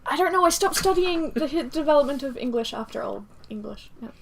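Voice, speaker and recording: A woman's voice: high-pitched, lively intonation, a little fast, creaky, over a noisy crowd. A woman on a book podcast panel, chatting casually and enthusiastically about a novel, often laughing.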